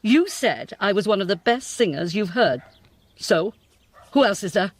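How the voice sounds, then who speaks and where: Vain older Welsh showbiz diva, grand, bossy, highly animated delivery, radio comedy. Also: female voice, normal speed. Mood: prickly, probing. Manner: grand, theatrical, sharp, bossy. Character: imperious, vain faded Welsh singing diva, older woman. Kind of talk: comic radio play acting.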